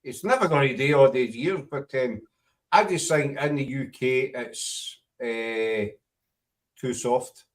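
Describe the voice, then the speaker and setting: A man's voice: mid-range voice, takes it slowly. Rambling Scottish man, mic far off. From Scotland. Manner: rambling, self-correcting. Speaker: host. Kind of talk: casual panel chat.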